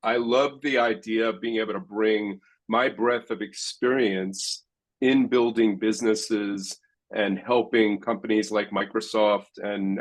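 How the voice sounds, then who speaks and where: Man, 40s, founder panelist; low voice, measured delivery. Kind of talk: conference panel talk. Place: conference stage.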